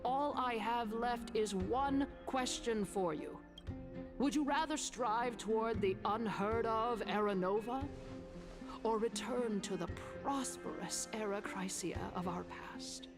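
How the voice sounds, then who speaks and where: A woman playing a stately, scheming noble orator in a story game, speaking in a measured, theatrical, formal way. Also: fairly high pitch, music in the background. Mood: silkily persuasive. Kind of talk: dramatic game voice acting.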